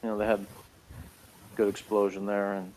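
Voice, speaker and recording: A man's voice: on the low side, little pitch movement, moderate pace, a little mellow, slight background noise, low-quality recording. An American man chatting casually as a co-host of a review show, with a laid-back, dry, blunt manner. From United States. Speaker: podcast co-host reviewing a TV episode. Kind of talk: casual chat.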